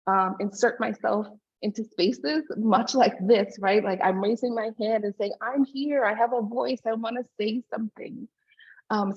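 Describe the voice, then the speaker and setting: A woman's voice: on the high side, darkish tone, dull audio. A woman in her forties from Hawaii giving a personal conference talk over earbuds on a video call, with frequent fillers. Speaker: guest speaker.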